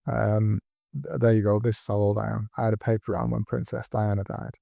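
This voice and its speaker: Gravelly, dark-voiced man in his forties. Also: on the low side, level tone, a little fast, dry recording. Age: 40s. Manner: chatty, rambling anecdotes with many ums. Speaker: podcast host. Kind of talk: casual interview chat.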